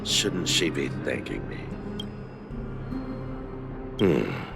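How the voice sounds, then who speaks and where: An actor playing a scheming, self-righteous swindler in a video game, theatrical, indignant and sneering. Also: male voice, rumbling low, big pitch swings, extremely slow, creaky, heavily acted. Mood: sneering menace. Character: scheming self-righteous male swindler, president of sham mutual aid society. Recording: loud music behind voice.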